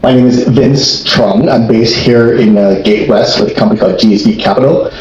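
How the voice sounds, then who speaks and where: A man's voice: on the low side, steady tone, brisk, a little mellow. A middle-aged man from California with an American accent, introducing himself as a financial professional. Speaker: financial planner, panelist. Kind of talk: spoken self-introduction. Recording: echoey room, dull sound, background noise.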